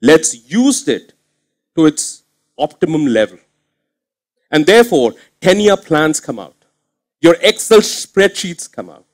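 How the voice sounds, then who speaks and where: A man in his thirties preaching a sermon on a church stage into a headset mic, earnest and swinging from quiet asides to emphatic points. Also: a little high, sing-song, slow pace, long pauses. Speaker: pastor. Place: church stage with curtain backdrop.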